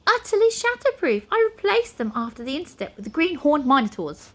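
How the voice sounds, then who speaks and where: Woman acting a high-pitched, flustered pompous wizard. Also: sing-song, a little fast, fairly bright tone. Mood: pompous, anxious. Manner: hesitant, formal, exclamatory. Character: pompous, flustered male wizard, rusty at magic.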